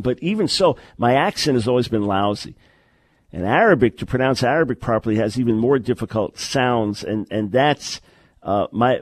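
A man's voice: medium pitch, a little mellow, no room sound. A man hosting a call-in radio talk show, talking in an earnest, emphatic way and repeating words to stress a point.

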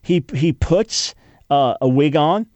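A man's voice: fairly high pitch, slow pace, a little mellow, dry recording. An older man hosting call-in talk radio, sounding congested, arguing emphatically with frequent restarts. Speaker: radio talk show host.

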